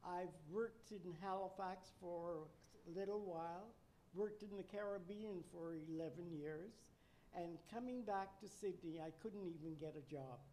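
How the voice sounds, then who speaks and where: An older woman from Nova Scotia who is a retired nurse educator tells her career story in a measured, reflective way with wry asides. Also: fairly low pitch, dark tone, a little hiss or hum, low-quality recording. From Nova Scotia, Canada.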